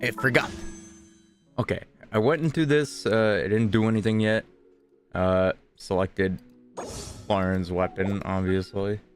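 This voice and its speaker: A man casually commenting while playing a video game, with relaxed, mumbling asides. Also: fairly low pitch, measured pace, long pauses. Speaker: gaming streamer. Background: game music.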